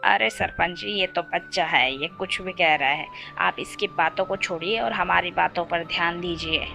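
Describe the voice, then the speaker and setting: A woman acts out a greedy village man in a cartoon moral story. The voice is bright and forceful, pleading and protesting, with faint music behind. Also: on the low side, moderate pace, gravelly, lo-fi sound. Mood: insistent. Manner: protesting, insistent.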